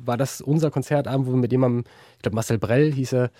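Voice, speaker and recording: A man's voice: mid-range voice, fairly even intonation, fast talker, warm tone, no echo, studio quality. A young man, a musician, chatting as a guest in an interview, hesitant and full of fillers and restarts. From Germany. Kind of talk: casual interview chat.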